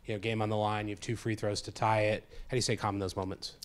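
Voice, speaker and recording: Brief: male moderator, lowish voice, quick brisk questions. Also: flat delivery. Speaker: press conference moderator. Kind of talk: press questions.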